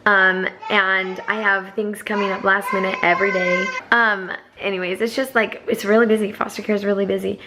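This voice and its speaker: A hoarse young woman chatting to camera as a foster parent, rambling with many fillers. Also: mid-range voice, flat delivery, fairly quick, hardly any pauses, very noisy. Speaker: vlogger. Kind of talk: casual chat to camera.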